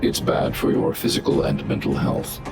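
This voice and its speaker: A man's voice: moderate pace, close to an everyday voice. A man voicing a huge, stern robot guardian in a video game, speaking in clipped, formal, coldly analytical phrases. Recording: game music behind the voice.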